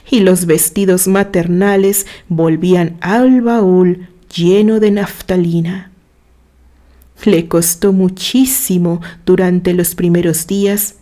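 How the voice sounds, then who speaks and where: A woman reading a literary story aloud in a dramatic, expressive way, with measured phrases and pauses. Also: fairly low pitch. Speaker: narrator reading a written story.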